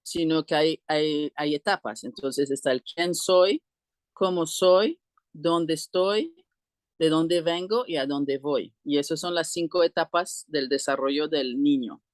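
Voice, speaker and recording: A middle-aged woman explaining things conversationally as an interview guest, halting and often clearing her throat. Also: deep voice, dry recording, clean studio sound. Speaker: interview guest, co-founder of an alternative school.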